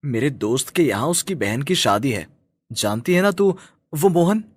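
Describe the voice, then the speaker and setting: A man's voice: mid-range voice, lively intonation, normal speed, slightly rough. A man playing a kind, caring husband and family friend in a story, earnest and insistent.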